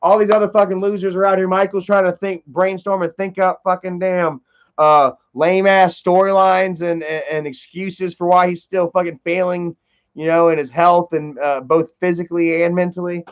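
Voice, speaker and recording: A man's voice: high-pitched, level tone, moderate pace. A man in his thirties telling a rambling, profane story in casual livestream chat, full of fillers and repeats. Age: 30s. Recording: dull lo-fi stream audio.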